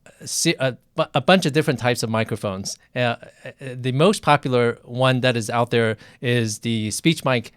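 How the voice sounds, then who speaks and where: A man with a smooth, clean voice at a medium pitch hosts a conversational interview. He speaks haltingly and often repeats a phrase before going on. The sound is dry, from a treated room. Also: dry recording. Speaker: physician interviewer hosting a livestream.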